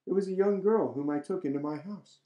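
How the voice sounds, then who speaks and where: A man's voice: sits high, fairly quick, reverberant, voice pushed up, lightly acted. A man voicing a humble, eager little old woman in a folk tale he reads aloud. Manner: raised, bright storytelling voice.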